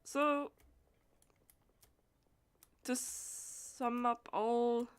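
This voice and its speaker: A woman with a fairly high voice chats through a game on a live stream. She speaks very slowly and hesitantly. Her phrases often trail off as she thinks aloud. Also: steady tone, clean tone. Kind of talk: casual live gaming stream commentary.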